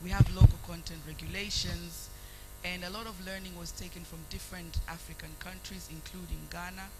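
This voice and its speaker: A woman's voice: fairly low pitch, flat delivery, music in the background, low-quality recording. Earnest Tanzanian woman on a panel. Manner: earnest, measured, advocating. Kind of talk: panel presentation.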